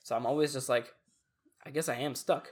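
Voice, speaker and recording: A man's voice: medium pitch, fairly even intonation, fairly quick, darkish tone, husky. A young man in casual conversation, thoughtful, explaining his ideas at length. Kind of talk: casual chat.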